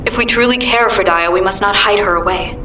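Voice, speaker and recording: An actress playing a calm, gentle female ally in a game cutscene, speaking softly and persuasively. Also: mid-range voice, fairly quick, reverberant, far from the mic, noisy background, lightly acted. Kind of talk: video game cutscene acting.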